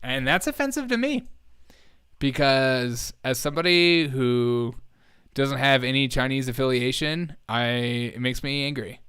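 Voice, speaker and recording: A man's voice: mid-range voice, animated, measured pace, a bit bright, smooth, no echo. Casual male streamer, clean close sound. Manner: informal, rambling, chuckles often. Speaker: host of a livestream show. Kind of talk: casual livestream reaction chat.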